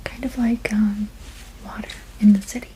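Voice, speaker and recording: A woman whispering gently and reassuringly in an ASMR roleplay as a soothing witch healer, with a breathy, unvoiced voice. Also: medium pitch, little pitch movement, normal speed, a little hiss or hum, rough recording. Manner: gentle, soothing, reassuring, repeating soft words. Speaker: ASMR performer.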